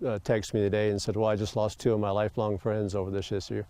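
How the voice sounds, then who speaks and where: Mellow, lowish middle-aged man. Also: level tone, quick pace, no echo. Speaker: interviewed resident, retired police officer. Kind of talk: unscripted interview soundbite.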